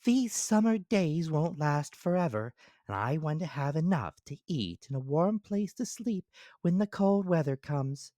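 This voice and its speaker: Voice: medium pitch, expressive, a little slow, dark tone, no echo, clean studio sound, voice pushed up, close to an everyday voice. A storyteller voicing a diligent, sensible female ant in a storybook fable, earnest, firm and kindly. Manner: earnest, firm, matter-of-fact. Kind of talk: dramatic storybook character reading.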